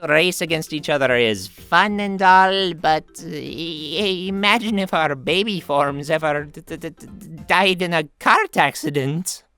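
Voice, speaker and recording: A man's voice: on the high side, measured pace, few pauses, pushed and bright, clear, resonant tone, faint music behind, pitched above the performer's own voice. A man voicing a cheerful, goofy Italian plumber in a fan-made game parody, with an exaggerated Italian accent and exuberant sing-song delivery. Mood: puzzled. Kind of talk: cartoon-like fan parody of video game characters.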